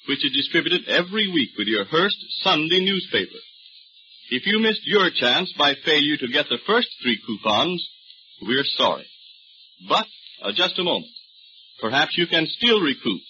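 Muffled old broadcast, American male announcer, bright forceful voice, lively swooping delivery, declamatory. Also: fairly high pitch, slightly rough. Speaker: radio announcer. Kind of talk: 1930s-style radio advertising announcement.

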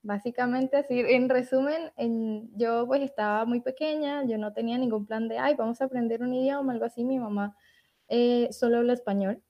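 A woman's voice: a little high, steady tone, moderate pace. A young woman from Latin America, a translator and podcast guest, telling stories in a chatty way and laughing often. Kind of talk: casual podcast interview. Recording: dull lo-fi audio.

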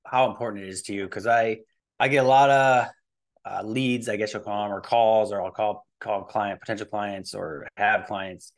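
Low-voiced man in his forties, rambling. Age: early 40s. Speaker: fitness and nutrition coach, podcast guest. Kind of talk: casual interview chat.

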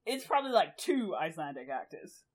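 A woman's voice: lowish voice, very animated, fairly quick, a little mellow. A young woman co-hosting a podcast, chatting casually in a rambling way, laughing often and swearing freely. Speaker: podcast co-host.

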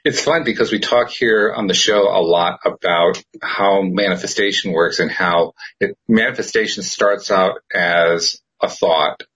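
A man's voice: deep voice, unhurried, hardly any pauses, a bit bright, slightly rough, poor audio. A man hosting a podcast in casual chat, chatty and laughing often. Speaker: podcast host.

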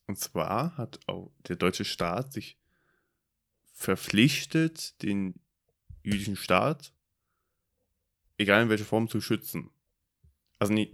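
A man's voice: fairly low pitch, creaky, fairly bright tone. A young man from Germany in his early twenties, co-hosting a podcast and talking things through in a reflective, hesitant way with many fillers. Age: about 20. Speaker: podcast co-host. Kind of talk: casual discussion.

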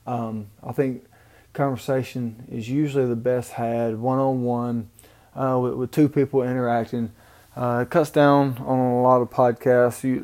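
A man with a Mississippi Southern drawl rambles on in a casual solo podcast, recorded on an amateur home mic. His delivery is flat and halting, full of ums and restarts. His voice is mellow and dark, at a middle pitch. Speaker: podcast host. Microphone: home microphone, amateur setup.

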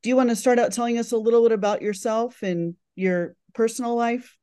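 Woman podcast host, warm mellow tone, lots of little yeahs and wows. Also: a little high, fairly even intonation, a little fast, clean tone. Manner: encouraging, brief affirmations. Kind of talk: interview hosting.

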